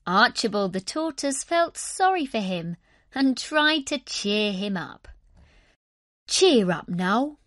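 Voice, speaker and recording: Casting: female children's storyteller, high voice, slow deliberate delivery, expressive reading. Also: dry recording, studio quality. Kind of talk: scripted children's story narration.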